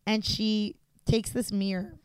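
A woman's voice: medium pitch, steady tone, smooth, no echo, low-quality recording. A young woman co-hosting a podcast, chatting casually and jokingly while watching a movie. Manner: chatty, quick to react, joking. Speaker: podcast co-host. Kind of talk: casual movie commentary chat.

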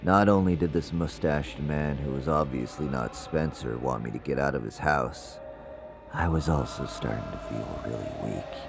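A man's voice: extremely low pitch, moderate pace, gravelly. A man playing an uneasy narrator in an audio drama, recounting a haunting in a conversational first-person way. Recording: music bed under the voice.

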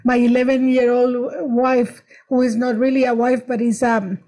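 A middle-aged woman with a foreign accent, not a native English speaker, chatting as a comedian guest in a halting way that repeats words. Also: a little high, level tone, mellow. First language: not English, which is a third language. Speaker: stand-up comedian, interview guest. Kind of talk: casual conversational storytelling with jokes.

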